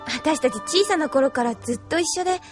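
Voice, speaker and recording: An actress playing a gentle, earnest, plucky young woman in an anime audio drama, with a sincere, caring, girlish manner. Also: high voice, a little fast, soft background music, close to an everyday voice.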